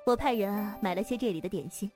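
A woman's voice: medium pitch, fast, lightly acted. A woman playing a seductive, proud female sect leader in a wuxia audio drama, with a coy, teasing manner. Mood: teasing, amused. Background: music.

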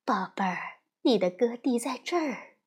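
A gentle mother bear from a storybook, voiced by a woman, soft and soothing with a breathy tone. She speaks slowly and tenderly, her voice rising and falling warmly. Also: fairly high pitch, expressive.

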